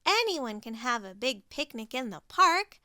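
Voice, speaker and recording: A woman voicing a cheerful, boastful, carefree pig who talks in excited exclamations. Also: fairly high pitch, unhurried, no room sound, studio quality, heavily acted.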